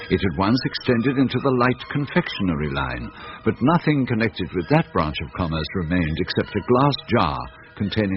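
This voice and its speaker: A man with an English accent narrating a story in a measured, literary, dramatic style. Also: deep voice. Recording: muffled, faint music behind.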